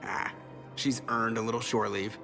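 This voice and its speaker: A man's voice: fairly low pitch, flat delivery, normal speed, vocal fry, music in the background. An actor playing a sardonic, casual, wisecracking crewman in a video game, with a wry delivery.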